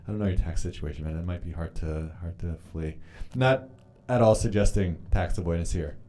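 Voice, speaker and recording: Low, mellow-voiced man chatting loosely. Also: fairly quick. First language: Polish. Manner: rambling, swears freely, loose and candid. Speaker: livestreamer reading chat. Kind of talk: casual live-stream chat while journaling.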